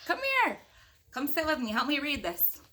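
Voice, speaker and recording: A woman's voice: sits high, expressive, moderate pace, pushed and bright, slight background noise. A woman reading a picture book aloud in a playful, chatty way, with casual asides to young children. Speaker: storybook reader.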